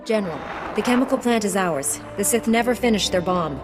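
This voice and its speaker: An actress playing a composed, dutiful Jedi commander in a video game, speaking in measured, terse, resolute lines. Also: female voice, medium pitch, animated, over music, natural voice. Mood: calm resolve. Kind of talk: video game cutscene dialogue.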